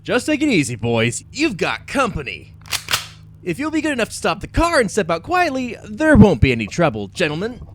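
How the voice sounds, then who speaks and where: Man playing a brave army captain, lively delivery. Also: sits high, normal speed, a little hiss or hum. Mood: confident. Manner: clipped, earnest, heroic. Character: brave, dutiful American army intelligence captain. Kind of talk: 1940s-style radio serial acting.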